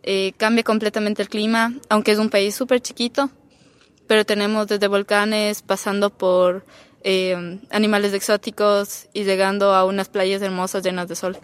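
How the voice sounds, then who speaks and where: A woman's voice: medium pitch, flat delivery, moderate pace, clear, resonant tone, dry recording. Ecuadorian teen girl, thoughtful speech. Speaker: exchange student. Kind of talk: casual chat.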